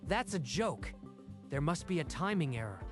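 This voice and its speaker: A man's voice: fairly high pitch, very animated, moderate pace, music in the background. A man voicing a hot-tempered, sneering champion racer in a manga dub. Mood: sneering disbelief.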